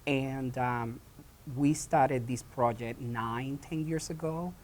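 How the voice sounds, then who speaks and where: A man from Puerto Rico, a theatre founder and director, answering interview questions in a halting way with many pauses and restarts. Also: mid-range voice, fairly even intonation, darkish tone, a little hiss or hum.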